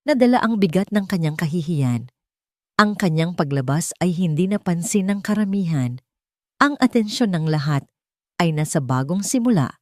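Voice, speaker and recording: A woman narrating a scripted romance story in an emotive, deliberate, dramatic audiobook style. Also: lowish voice, a little mellow, no room sound, studio quality.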